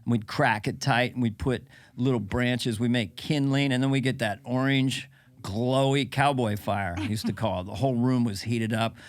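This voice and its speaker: A man's voice: medium pitch, fairly even intonation. A man hosting a podcast in a playful, teasing manner, his voice echoing on the line. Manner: playful, teasing, rapid-fire questions. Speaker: podcast host. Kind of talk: casual chat. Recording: echoey line.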